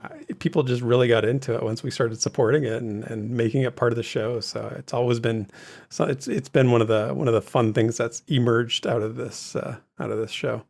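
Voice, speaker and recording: Male co-host, medium pitch, casual podcast chat, conversational delivery. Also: fairly even intonation, brisk, creaky, a little mellow.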